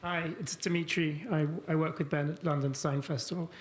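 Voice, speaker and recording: A man's voice: on the high side, steady tone, brisk, roomy sound, a little hiss or hum. A man in the audience of a conference, hesitantly asking a question and stumbling over his words. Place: conference hall.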